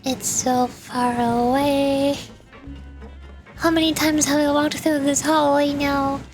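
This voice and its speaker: A woman's voice: high voice, level tone, slow pace, over music. A woman streamer commenting on a game as she plays and reading text aloud. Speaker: VTuber streamer.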